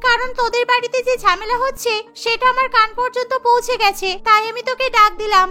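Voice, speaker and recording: A woman's voice: extremely high pitch, fairly even intonation, fast talker, sharp tone, soft background music, voice pushed up. A woman voicing a scheming, doting mother of a grown daughter in a cartoon, with a wheedling manner. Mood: conspiratorial.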